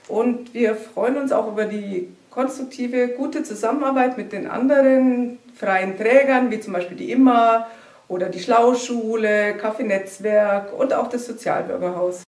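A woman giving a measured, formal presentation of a social organization to camera. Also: fairly high pitch, a little mellow. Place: room in front of a banner. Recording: echoey room, mic at a distance.

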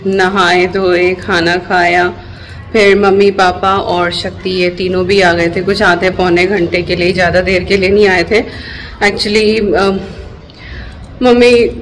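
A woman's voice: mid-range voice, low-quality recording. Tired Hindi-speaking woman vlogging casually. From India. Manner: chatty, mixes English into Hindi. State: tired, with a headache. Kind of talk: casual travel vlog chat. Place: airport and then at home.

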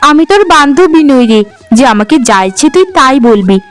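A woman voicing a scolding, sharp-tongued middle-aged village mother in a cartoon, with a high voice. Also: sing-song, fairly quick, faint music behind. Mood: angry. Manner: nagging, scolding. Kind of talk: cartoon voice acting.

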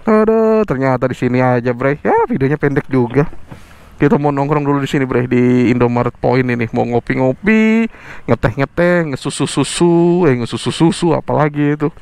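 A man riding a motorbike at night, chatting casually to viewers and his passenger in a joking, relaxed way. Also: mid-range voice, normal speed, vocal fry, clean tone. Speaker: motovlogger. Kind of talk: casual riding vlog chat. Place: on a motorbike on night city streets. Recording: faint road noise from a helmet camera.

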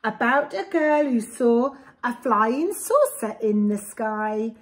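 Preschool storyteller: English woman, fairly high voice, very unhurried, expressive picture-book reading. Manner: expressive, deliberate, with simple explanatory asides. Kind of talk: reading a rhyming picture book aloud to young children. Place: small indoor room with bookshelves. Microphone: camera a short distance away.

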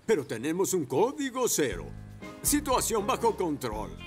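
A man voicing an excitable police motorcycle officer in a cartoon dub. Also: high-pitched, normal speed, music in the background. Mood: relieved.

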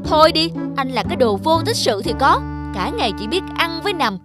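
Cartoon mother buffalo, played by a woman: weary, grumbling, high female voice, music underneath. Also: big pitch swings, brisk. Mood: scornful. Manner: grumbling, sarcastic. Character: resentful, weary mother buffalo, scornful of others. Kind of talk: dubbed cartoon fable acting.

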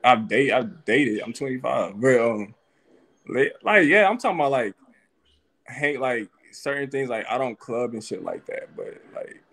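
A man's voice: medium pitch, animated, unhurried, creaky, a bit bright. A man in his twenties from Texas, talking in a slangy, laid-back way on a live video call. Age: 20s. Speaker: caller. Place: small indoor room. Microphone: video call, phone or laptop.

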